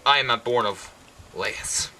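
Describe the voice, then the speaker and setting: A man's voice: medium pitch, a little slow, pushed and bright, a little hiss or hum. A young man playing a suspicious, anguished king in an amateur puppet-show tragedy, reciting stiffly with stumbles. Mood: anxious.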